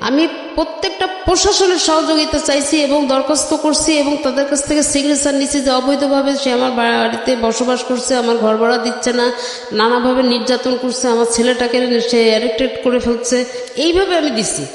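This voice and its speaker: A middle-aged woman from Bangladesh telling her personal story as an interview guest, haltingly, restarting and repeating phrases. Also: high-pitched, fairly even intonation. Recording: very echoey, long reverb.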